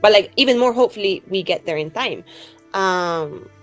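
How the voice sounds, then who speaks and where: A woman's voice: medium pitch, animated, moderate pace, faint music behind, close to an everyday voice. Woman playing a rambling, chatty young adventurer. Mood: concerned, chatty. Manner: rambling, casual, filler-heavy valley-girl phrasing. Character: chatty, naive, friendly young female adventurer. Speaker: player in a tabletop game. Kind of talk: improvised tabletop roleplay dialogue.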